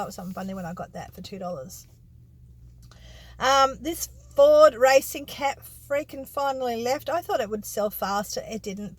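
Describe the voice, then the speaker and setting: A middle-aged woman with an Australian accent talking casually to her viewers, rambling and correcting herself as she goes. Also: mid-range voice. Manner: rambling, self-correcting, colloquial. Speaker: vlogger and secondhand reseller. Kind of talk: casual chatty vlog monologue.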